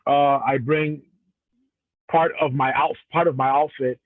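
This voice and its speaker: A man's voice: medium pitch, fairly even intonation, a little slow, narrow, tinny audio, slight background noise. A professional wrestler from Cameroon, a man answering interview questions in a casual, rambling way with frequent restarts. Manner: rambling, many restarts and self-corrections.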